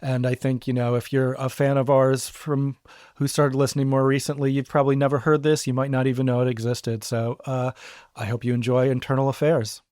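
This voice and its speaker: A man hosting a podcast, introducing an episode in a relaxed, chatty way. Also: medium pitch, fairly quick. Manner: relaxed, chatty, some fillers. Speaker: podcast host. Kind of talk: casual intro.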